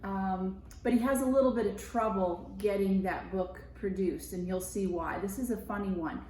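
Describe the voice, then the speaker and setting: A woman's voice: medium pitch, normal speed, warm tone, slight background noise. An American woman reading a picture book aloud to children as a school media specialist, with conversational explanatory asides. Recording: roomy echo.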